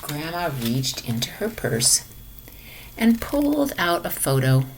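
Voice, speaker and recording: A woman reading a children's picture book aloud in an expressive manner, sometimes dropping to hushed tones. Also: low-pitched, slow pace, slight background noise, low-quality recording. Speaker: storybook reader for a children's lesson.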